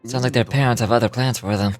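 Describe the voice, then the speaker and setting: A man's voice: medium pitch, steady tone, fast talker, no room sound, faint music behind, natural voice. A man voicing a kindly, modest stage magician from a game, reading his diary in a reflective way and occasionally stumbling over words. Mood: concerned, reflective.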